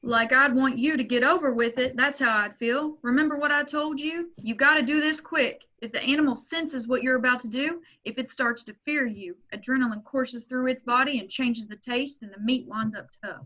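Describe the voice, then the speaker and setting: A woman's voice: high-pitched, moderate pace, hardly any pauses, bright, forceful tone, dull audio. A woman reading the part of a blunt, lecturing grandfather in his sixties who owns a slaughterhouse, at an amateur table read. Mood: stern.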